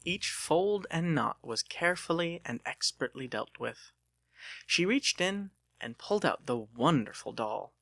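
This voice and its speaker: A man's voice: on the high side, lively intonation, unhurried, vocal fry, fairly bright tone, dry recording. A man narrating an audiobook as a dramatic scripted reading.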